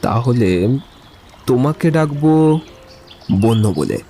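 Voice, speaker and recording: Man acting as Amit, a witty romantic gentleman. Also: medium pitch, sing-song, slow. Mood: tender, playful. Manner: playful, wordy, theatrical. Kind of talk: audio drama acting. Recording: music behind the voice. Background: music.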